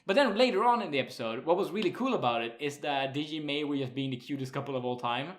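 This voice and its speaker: A young man from Sweden with a Swedish accent, co-hosting a casual podcast chat, laughing often, swearing casually and pausing with fillers. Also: fairly high pitch, animated. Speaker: podcast co-host.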